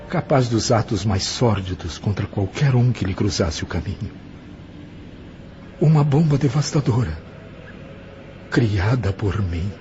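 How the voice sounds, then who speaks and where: A man playing a remorseful, benevolent spirit mentor in an audio drama, speaking grave, measured oratory with a Brazilian accent. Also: fairly low pitch, background noise, natural voice. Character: Brother Félix, solemn remorseful benevolent spirit mentor confessing past sins. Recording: dull lo-fi audio with prominent music.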